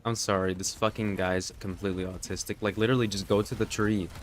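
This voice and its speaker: A man with a slightly low, congested voice talks through a live gaming stream. He rambles and swears casually, filling the gaps with "like" and "you know". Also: steady tone, fast. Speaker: livestreamer. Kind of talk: casual live gameplay commentary.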